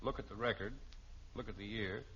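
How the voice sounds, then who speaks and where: A man's voice: fairly low pitch, fairly quick, fairly bright tone, natural voice. An American actor playing a blustering, sarcastic middle-aged suburban father in a 1950s radio sitcom. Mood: fussy, pompous. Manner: theatrical, sarcastic, fussy. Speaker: lead actor in a family sitcom. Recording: muffled, faintly hissy old radio recording.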